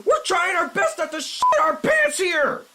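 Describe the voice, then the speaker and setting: A woman playing an excitable, outspoken diner worker in a comic audio drama. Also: very high-pitched, unhurried, heavy background noise, exaggerated voice. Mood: indignant.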